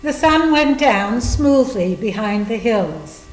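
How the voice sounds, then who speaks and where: A woman's voice: on the high side, big pitch swings, takes it slowly. A woman narrating a literary story as a scripted staged reading. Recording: echoing room.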